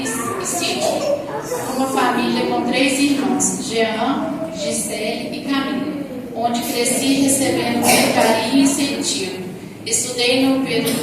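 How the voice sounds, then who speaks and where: Woman reading aloud in an echoing hall. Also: on the high side. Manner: reads steadily, with small stumbles and restarts on words. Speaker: reader of honorees' biographies. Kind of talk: reading a prepared text aloud. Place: council chamber during a formal session. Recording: echoey hall from far off, loud noise.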